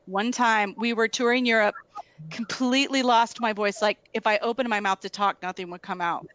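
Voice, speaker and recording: A woman's voice: fairly high pitch, fairly even intonation, moderate pace, fairly bright tone, no room sound. Dry-sounding woman telling road stories. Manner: storytelling, filler-heavy, lots of "like". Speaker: guest musician. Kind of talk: casual conversation.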